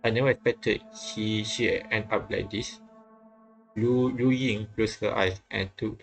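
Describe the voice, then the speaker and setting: Flat, low-voiced man reading a game aloud. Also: gravelly, poor audio. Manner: halting, repeats phrases, puzzles aloud. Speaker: streamer reading a visual novel. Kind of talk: reading game text aloud with casual commentary. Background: game music faintly behind.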